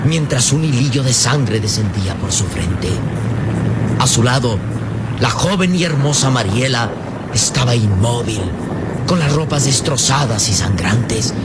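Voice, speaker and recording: A man narrating a radio drama in a grave, theatrical, measured way. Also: mid-range voice. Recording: loud storm and engine noise behind.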